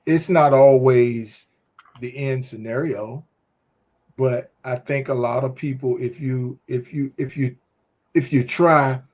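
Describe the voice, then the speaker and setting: A man co-hosting a relaxed, faith-based talk show, with a voice sitting in the ordinary middle range. He speaks with emphatic, preachy energy, building points in animated runs as if sharing a lesson. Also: medium pitch, slow pace. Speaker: podcast co-host.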